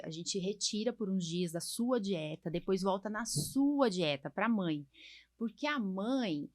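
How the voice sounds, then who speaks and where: A Brazilian woman hosting a podcast as a doctor, explaining things colloquially and often checking for agreement. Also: medium pitch. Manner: explanatory, colloquial, frequently seeking agreement with tags. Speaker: podcast host, allergist doctor. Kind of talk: conversational podcast discussion.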